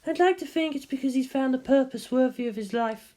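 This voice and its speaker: A narrator performs a stern woman scientist for a fan fiction audiobook. Her voice sits high. She speaks in clipped, instructional phrases, like a commanding teacher who is easily irritated. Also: fairly quick, mellow, natural voice. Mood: dry, sceptical. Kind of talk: amateur fan fiction reading with character voices.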